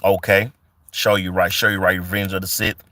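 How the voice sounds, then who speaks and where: A man's voice: low voice, level tone, normal speed, bright, forceful tone, no room sound. Forty-year-old man live-streaming casual chat. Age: 40s. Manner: reads chat comments aloud and greets viewers; loose, repetitive, with many fillers.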